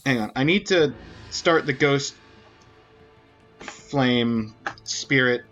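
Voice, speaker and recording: A man's voice: medium pitch, slow pace, a bit bright, faint music behind. A man casually commentating a game stream, thinking aloud as he reasons through clues.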